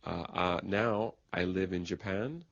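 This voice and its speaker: A man speaking slowly in a simple, carefully worded conversation made for English learners. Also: low-pitched, gravelly, no echo, highs cut off. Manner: plain, careful wording. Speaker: conversation partner in English lesson.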